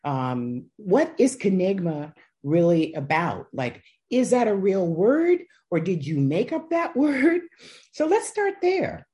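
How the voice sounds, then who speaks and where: A woman's voice: medium pitch, big pitch swings, takes it slowly, dark tone. A woman in her sixties hosting a podcast, chatty and enthusiastic. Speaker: podcast host. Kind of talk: casual podcast interview.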